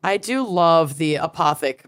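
A woman's voice: deep voice, animated, measured pace, clear, resonant tone. A woman co-hosting a podcast, bantering playfully with her friends. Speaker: podcast co-host. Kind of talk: casual chat.

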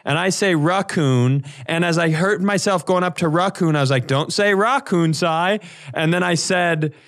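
A man co-hosting a podcast, telling comedic stories casually in an animated, sweary way and acting out dialogue. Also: high-pitched, moderate pace. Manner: animated, profane, acts out quoted dialogue. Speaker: podcast co-host.

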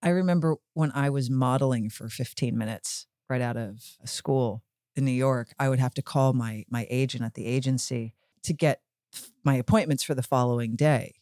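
Female podcast host, mellow dark timbre, dry studio sound, casual and anecdotal delivery. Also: very deep voice, moderate pace, no room sound. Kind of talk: casual chat.

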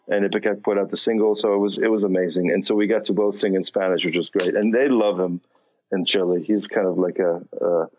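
Lowish guy with a slight accent, muffled lo-fi sound, rambles and restarts a lot. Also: steady tone, a little fast, darkish tone. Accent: light non-native accent. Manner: rambling, many restarts and fillers. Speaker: musician guest. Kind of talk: casual interview chat.

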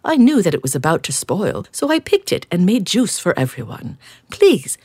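A woman's voice: lowish voice, wildly swooping pitch, moderate pace, warm tone, slightly rough, dry recording, clean studio sound, voice pushed up, heavily acted. A woman voicing a generous, welcoming female fox in a storybook reading. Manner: gracious, welcoming.

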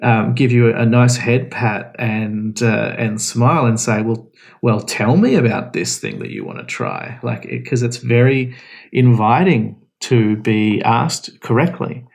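A man's voice: medium pitch, a little slow, gravelly. A man, a podcast guest, giving casual, explanatory advice with frequent restarts. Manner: relaxed, explanatory, many restarts. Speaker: guest answering questions.

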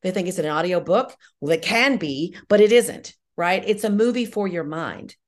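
A woman's voice: fairly low pitch, lively intonation, moderate pace. A middle-aged woman speaking as an interview guest, candid and emphatic in a reflective conversational way. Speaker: guest, audio drama writer and director.

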